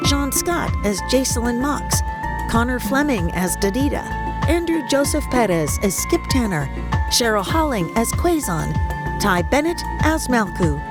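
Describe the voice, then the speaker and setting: A woman's voice: fairly high pitch, sing-song, a little slow. A woman announcer reading scripted credits for a podcast. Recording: loud music under the voice. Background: loud music.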